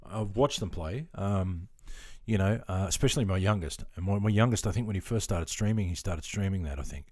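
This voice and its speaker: A man's voice: low voice, brisk, darkish tone, no echo, studio quality. A middle-aged Australian man chatting casually on a live stream, easygoing and full of ums, answering viewers and calling them mate. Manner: easygoing and chatty, filler-heavy, keeps calling people mate.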